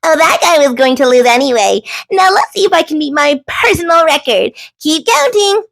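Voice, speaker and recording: A woman voicing a boastful, bossy young female duck in a storybook, loud, shrill and competitive. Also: animated, normal speed, gravelly, exaggerated voice. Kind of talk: animated children's storybook read-aloud.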